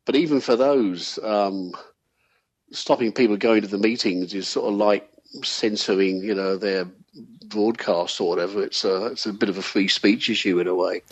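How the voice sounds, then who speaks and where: A man's voice: lowish voice, normal speed, low-quality recording. A man chatting casually as an interview guest, with a hesitant, wry, discursive way of talking. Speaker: interviewed UFO sceptic.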